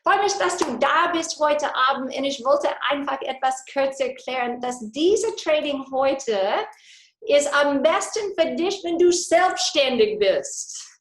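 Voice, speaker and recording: A woman's voice: sits high, animated, unhurried, roomy sound, low-quality recording. A woman from Texas with an American accent, a native English speaker presenting a live business webinar in imperfect German, slipping into English phrases with enthusiasm. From Texas, USA. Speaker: business coach. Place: her home, indoor.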